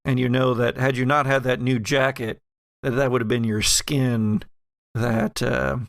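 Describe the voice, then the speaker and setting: A man narrating a tabletop horror game as game master, with halting, descriptive storytelling. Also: medium pitch, no room sound, studio quality.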